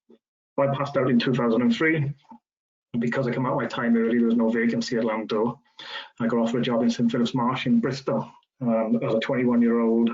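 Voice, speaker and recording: A man in his late thirties from South Wales, with a South Welsh accent, giving a relaxed, conversational careers talk full of ums. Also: medium pitch. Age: 30s. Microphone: video call. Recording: lo-fi video call audio.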